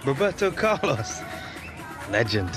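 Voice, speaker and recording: An earnest, easygoing footballer in a game cutscene. He talks slowly with a lively pitch. Music runs under his lines. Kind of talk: video game cutscene acting.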